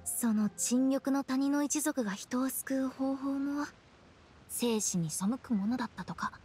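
A woman's voice: high-pitched, measured pace, dark tone, soft background music. A woman playing a playful, shrewd young funeral parlor director in a Japanese anime game, speaking casually and expressively. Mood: explaining, suspicious.

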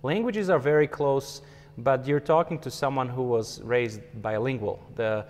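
A man's voice: medium pitch, unhurried, a little mellow, long reverb tail, slight background noise. A man in his forties from Slovakia with a Slovak accent, answering interview questions thoughtfully with many hesitations. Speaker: conductor, interview guest. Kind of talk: conversational interview. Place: empty hall with a stage.

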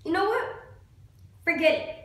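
Woman acting a high, bitter, wounded young monologue character. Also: expressive, normal speed. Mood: anguished. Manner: accusing, intense, emotional. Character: bitter, wounded young person angrily confronting God. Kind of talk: amateur dramatic monologue. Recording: very echoey, reverberant room.

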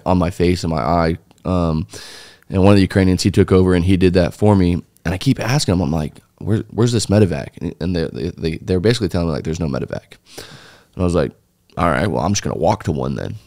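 A man's voice: sits low, a little mellow, no room sound, studio quality. A man, a guest on a podcast telling a long story in a loose, rambling way with many fillers and restarts. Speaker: interview guest, former foreign volunteer fighter. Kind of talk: casual interview storytelling. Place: small dark podcast studio. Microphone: studio mic on boom arm.

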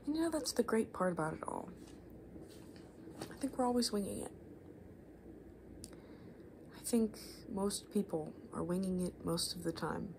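A woman in her twenties doing soft-spoken, rambling ASMR, unscripted and self-deprecating, sometimes slipping towards a whisper. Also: fairly low pitch, moderate pace, pauses often, low-quality recording. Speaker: ASMR video creator. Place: small living room at home, indoors. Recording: faint room noise on home recording.

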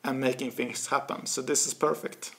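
Swedish-accented man, gravelly bright voice. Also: medium pitch, moderate pace. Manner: animated, gestures a lot, repeats words while searching. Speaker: guest, author. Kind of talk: casual interview talk. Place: small office studio with bookshelves, indoors. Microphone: desk studio mic.

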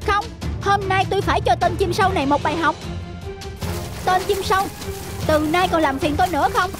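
A woman's voice: very high voice, fairly quick, music in the background. A woman voicing a lazy, grumpy, spiteful cabbage plant with a childish temper in a children's cartoon.

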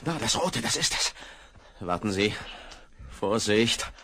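An actor playing a flustered, nervous small-town sheriff in a 1970s radio drama. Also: male voice, on the low side, animated, pushed and bright, slightly rough, exaggerated voice. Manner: flustered, slow then panicky. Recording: vinyl record, faint noise.

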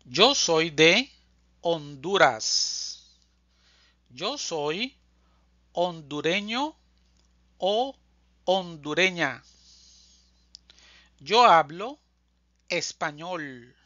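A language teacher reading a scripted drill slowly, enunciating deliberately phrase by phrase, as a close voice-over. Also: medium pitch, animated, pushed and bright, dry recording, muffled recording. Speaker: Spanish teacher.

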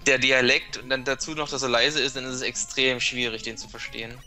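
A man's voice: mid-range voice, flat delivery, fairly quick, bright, forceful tone, faint music behind. A man chatting as a guest on a retro game let's play, explaining tricks and often restarting his sentences. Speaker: guest co-commentator. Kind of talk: casual gaming chat.

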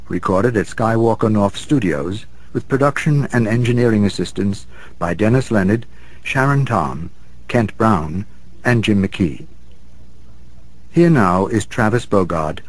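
A man reading scripted credits as a radio announcer. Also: on the low side.

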